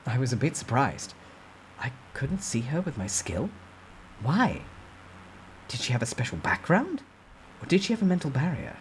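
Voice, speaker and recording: A man's voice: medium pitch, a little hiss or hum, low-quality recording. A man reading a fantasy novel aloud as a dramatic, suspenseful first-person narrator. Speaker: amateur story narrator.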